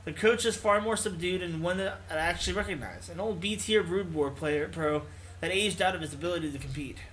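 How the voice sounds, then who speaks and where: High-pitched, bright-voiced man reading aloud. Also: a little fast, a little hiss or hum, rough recording. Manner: stumbles over words and corrects himself. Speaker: let's player reading a visual novel aloud. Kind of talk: reading game text aloud with asides. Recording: music playing behind the voice.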